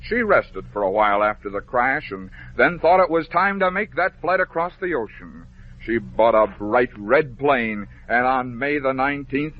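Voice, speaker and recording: An American man narrating a scripted story for children as a 1950s radio host, phrasing it clearly and dramatically. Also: fairly high pitch. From American Midwest. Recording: dull, noisy vintage 1950s radio recording.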